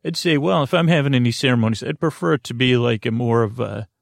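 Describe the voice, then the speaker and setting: A man hosting a sleep podcast in a creaky voice, giving a gentle, reassuring monologue that meanders haltingly from one tangent to another. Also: mid-range voice, no echo, clean studio sound. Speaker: podcast host.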